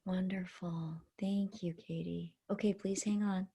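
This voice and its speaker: A woman's voice: on the low side, steady tone, unhurried, vocal fry, warm tone, breathy edge. A woman hosting a student event on a video call, speaking casually and encouragingly with effusive, gushing praise. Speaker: host of a student symposium session.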